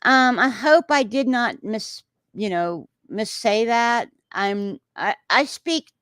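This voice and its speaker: A woman's voice: on the high side, lively intonation, takes it slowly, a bit bright, no room sound. A woman with a Texan accent hosting a casual livestream, reading out viewer comments and reacting in a rambling way with many filler sounds and restarts.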